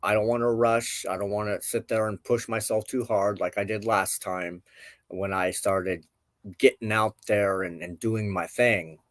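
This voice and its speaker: A man with a low voice talks in a slow, halting way, as if working out each thought while he speaks. He hosts an informal, reflective show, and the sound is close and dry, with very little room in it. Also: steady tone, no room sound. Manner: halting, searching for words, contemplative. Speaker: host of a spiritual show. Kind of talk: informal reflective talk to camera.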